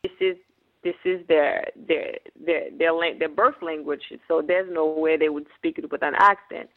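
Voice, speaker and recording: A woman's voice: low-pitched, fairly even intonation, normal speed. Woman on a tinny radio line. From Haiti. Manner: earnest, many restarts and repeated words. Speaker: guest, business owner. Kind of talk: radio interview. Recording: tinny phone-like radio broadcast.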